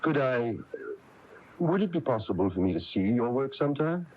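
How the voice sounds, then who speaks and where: An actor playing a kindly, chatty small-town minister in a radio drama, coaxing and avuncular, with hesitant phrasing. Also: male voice, medium pitch, sing-song, normal speed. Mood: curious, encouraging. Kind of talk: 1980s radio drama acting. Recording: dull, noisy AM radio recording.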